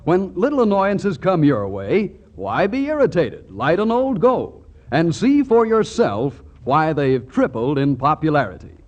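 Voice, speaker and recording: A man's voice: a little high, very animated, measured pace. A man reading a scripted 1940s radio advertisement as an announcer. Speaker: commercial announcer. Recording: dull old radio broadcast.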